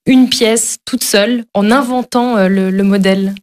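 A woman's voice: medium pitch, steady tone, measured pace, a little mellow. A woman co-hosting a morning radio show, asking questions in a friendly way with frequent hesitations. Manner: friendly, laughs easily, frequent fillers. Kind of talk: radio interview and chat.

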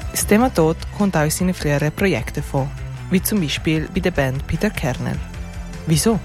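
Mid-range narrator for a culture feature, scripted delivery, soft music bed. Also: mid-range voice, expressive, a little fast. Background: faint music.

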